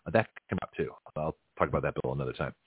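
A man's voice: rumbling low, very fast, slightly rough, no echo. A middle-aged man hosting a talk radio show, rambling in a casual monologue with frequent restarts and chuckles. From Canada. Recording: tinny phone-line audio.

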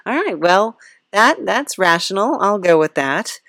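A woman podcast host with an unhurried pace, recorded dry and close. She chats casually and wryly, rambling and hesitating as she puts questions in a comic interview. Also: mid-range voice, very animated, a bit bright, dry recording.

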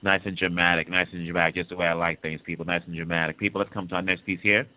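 A low-voiced man hosts a casual news commentary, talking fast and repeating words as he gathers his thoughts. He comes through a dry, tinny, phone-like line. He is from the Congo. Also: flat delivery, slight background noise. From Democratic Republic of the Congo. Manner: fast, conversational, repeats himself while thinking. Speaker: podcast news host.

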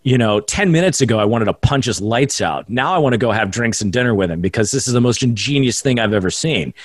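A man's voice: medium pitch, lively intonation, quick pace, no echo. A young adult man, a self-taught radio broadcaster, chatting as a guest in a casual interview with jokey, self-deprecating asides. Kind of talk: casual podcast interview chat. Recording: dry close sound.

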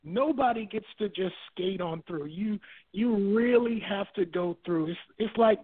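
A high-pitched man from Barbados speaks over a thin, tinny phone line. He talks as a guest in a relaxed, searching way, often repeating a word before the thought comes. He leans on homely school and family examples. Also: unhurried. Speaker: guest, author and marriage minister. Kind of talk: telling his life story on a call-in radio show.

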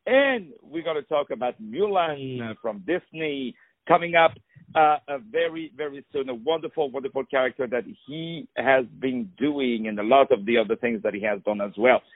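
A man's voice: mid-range voice, normal speed, no room sound. Effusive male radio host, lo-fi sound. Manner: effusive, repeats words, many uh fillers. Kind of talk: radio interview hosting. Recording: dull lo-fi radio sound with faint music.

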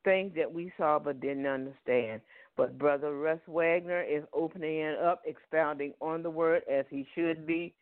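Southern male preacher, slightly high voice, tinny phone-line audio, dry sound. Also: steady tone, normal speed, clear, resonant tone, no room sound. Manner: peppers speech with "Amen" and "Hallelujah". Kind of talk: Bible teaching sermon.

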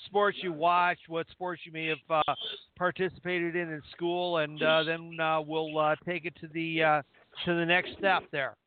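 High-pitched male host on a phone-like line. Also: a little hiss or hum. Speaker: radio show co-host, interviewer. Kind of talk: casual interview.

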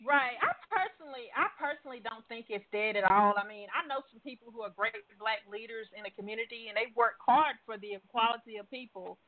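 A woman's voice: a little high, fast talker, hardly any pauses. A woman hosting a call-in talk show, emphatic and repeating her questions for effect. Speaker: radio show host. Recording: tinny phone-line sound.

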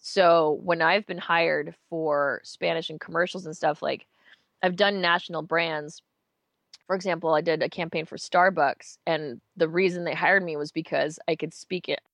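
Casual interview guest: a woman with a low-pitched voice, chatty and wry, recorded dry and close. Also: steady tone, a bit bright, no room sound. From United States. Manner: chatty, self-mocking, quick asides. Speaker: voice actor guest.

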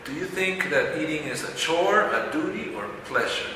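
Male online teacher-host, bright and clear, echoey room with faint noise. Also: on the high side, expressive, normal speed. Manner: clear, teacherly, explains terms. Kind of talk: bilingual lesson intro and interview.

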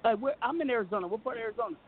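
A man hosting a call-in talk radio show, talking fast and joking, often cutting in over his caller. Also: extremely high pitch, expressive. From US West Coast. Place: home studio in the Southwest. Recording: phone-line audio with faint hiss.

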